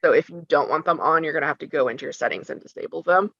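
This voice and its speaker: Bright-voiced woman explaining app steps. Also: deep voice, rapid-fire, creaky, no room sound. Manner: conversational, many ums and restarts. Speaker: school tech trainer for parents. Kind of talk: instructional walkthrough for parents. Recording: slightly dull lo-fi sound.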